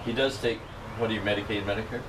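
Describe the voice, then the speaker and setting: A man's voice: on the low side, quick pace. A man from upstate New York telling a long, rambling personal story in casual chat. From Norwich, New York. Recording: distant mic with steady background noise.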